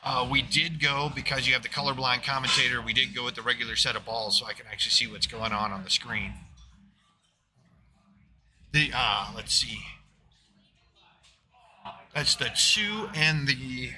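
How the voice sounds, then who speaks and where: A man calls a live pool match from a booth beside the tables. He talks in a loose, rambling way, full of fillers and restarts, with a voice of middling pitch. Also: normal speed, pauses often. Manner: rambling, filler-heavy, talks of his loud voice. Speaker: pool commentator. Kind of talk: live stream commentary.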